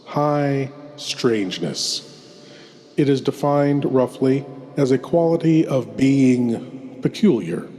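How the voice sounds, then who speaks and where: Man playing a slow, sinister horror host in deep reverb. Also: a little mellow. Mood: grave, measured. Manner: deliberate, theatrical, ominous. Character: sinister, courtly male horror host lord. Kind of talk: slow theatrical scripted horror narration. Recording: long hall-like reverb.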